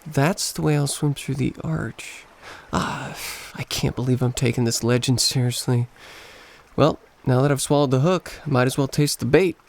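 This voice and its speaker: An actor playing a lonely, embittered American schoolteacher in a radio play, self-mocking and dramatic, with an American accent. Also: male voice, mid-range voice, normal speed, creaky, close to an everyday voice. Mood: wry, resolved.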